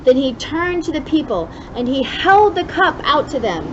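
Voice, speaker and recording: A woman with a fairly high voice reads a children's story aloud in an expressive, deliberate way, leaning on important words. The recording is a bit dull and lo-fi, with clear noise behind her.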